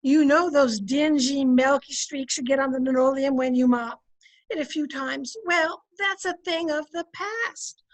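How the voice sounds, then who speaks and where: Casting: female actor as quipping housewife for radio comedy, high voice, chatty and persuasive. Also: measured pace. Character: wry, chatty housewife, wife of census taker. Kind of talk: old-time radio comedy acting.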